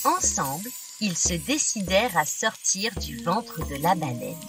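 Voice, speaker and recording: A woman narrating fairy tales for small children in an expressive, deliberate scripted style. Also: fairly low pitch. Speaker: children's storyteller. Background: music.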